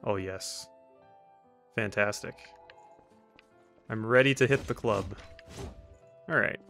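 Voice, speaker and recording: A man's voice: fairly low pitch, slow, vocal fry, a bit bright. A man giving casual, rambling gameplay commentary as a let's play host, with wry asides. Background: faint game music.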